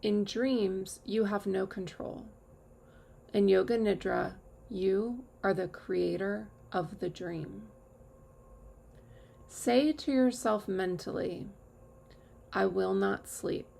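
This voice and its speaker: A woman teacher leading a guided meditation with calm, measured instructions and long pauses. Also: medium pitch, creaky, breathy edge. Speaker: yoga nidra teacher.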